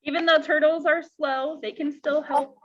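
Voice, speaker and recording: A woman reading aloud to children in an expressive, engaging way. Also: high voice, moderate pace. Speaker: storytime reader for children. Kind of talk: read-aloud children's story, then casual chat with children. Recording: dull lo-fi sound, clear background noise.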